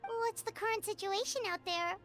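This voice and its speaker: Squeaky, childlike game fairy companion. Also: female voice, fairly even intonation, moderate pace, fairly bright tone, soft background music. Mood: concerned. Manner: exclamatory, urgent, earnest. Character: small childlike fairy companion, excitable and earnest. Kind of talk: video game voice acting.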